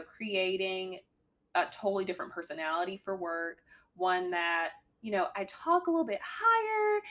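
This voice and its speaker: A young adult woman with a slightly deep voice, a guest talking candidly with a dry sense of humor. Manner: candid, dry humor, mimics a bright work voice. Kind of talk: casual chat.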